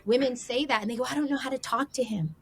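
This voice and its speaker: Woman, slightly high pitch, podcast guest, relationship advisor, conversational, explanatory, voices example questions. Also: quick pace, a little hiss or hum.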